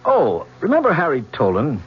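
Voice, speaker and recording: An actor playing a ruthless, smug business tycoon in middle age in a 1970s radio drama, with a commanding manner. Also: male voice, fairly high pitch, huge pitch swings, moderate pace, breathy edge, heavily acted. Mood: unruffled. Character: ruthless, arrogant male business tycoon and father. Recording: dull 1970s radio recording with hum.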